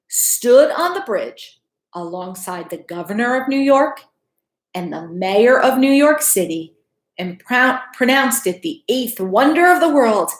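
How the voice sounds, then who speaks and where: Female school principal, higher-pitched voice, unhurried storybook read-aloud with teacherly asides, recorded in a roomy space. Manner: expressive, teacherly, checks in with questions. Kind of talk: picture book read-aloud with asides to children. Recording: echoey room.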